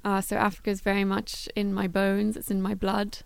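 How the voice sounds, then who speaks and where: A woman answers interview questions in a flat, steady tone. Her voice is smooth and clear, with a gravelly edge of vocal fry. It is recorded dry and close in a studio. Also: medium pitch, brisk, no room sound. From Zimbabwe. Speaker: guest, travel philanthropy worker.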